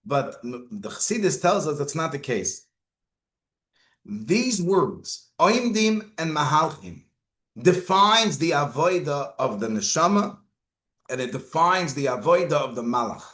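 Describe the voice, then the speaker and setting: A man's voice: on the high side, animated, a little slow, a bit bright, reverberant. A man giving a Torah lecture as a rabbi, asking rhetorical questions and mixing Hebrew and Yiddish terms into his English. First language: not Hebrew. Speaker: rabbi teaching.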